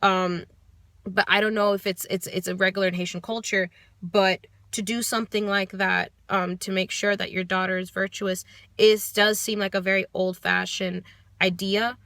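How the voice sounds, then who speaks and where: Bright-voiced young woman reviewing a book. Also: medium pitch, level tone, moderate pace, no echo. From United States. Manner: thoughtful, hesitant, many ums and restarts. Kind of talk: casual spoken book review. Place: parked car.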